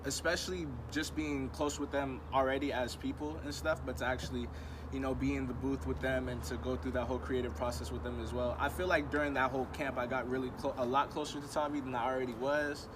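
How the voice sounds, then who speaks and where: A man's voice: mid-range voice, little pitch movement, fast talker. Laid-back young man, traffic behind. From United States. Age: 20s. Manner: laid-back, reflective, many fillers like um and you know. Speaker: rapper being interviewed. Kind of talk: casual conversation. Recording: outdoor traffic noise.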